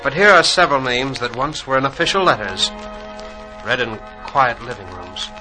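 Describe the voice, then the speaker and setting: A man's voice: medium pitch, lively intonation, normal speed, natural voice, rough recording. Man playing a proud haunted ww2 flyer, earnest old radio drama verse, music underneath. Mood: grave, haunted. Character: wounded surviving airman, proud, haunted, remembering the dead. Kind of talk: 1940s radio drama, poetic monologue. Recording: old 1940s radio broadcast recording. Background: musical score.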